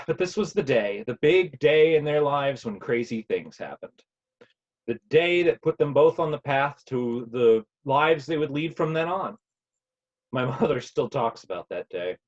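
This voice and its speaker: An actor playing a fond, watchful, nostalgic parent with a halting manner, in a naturalistic play reading over a video call. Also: male voice, mid-range voice, lively intonation. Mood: fond, wistful. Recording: dull, lo-fi video-call sound.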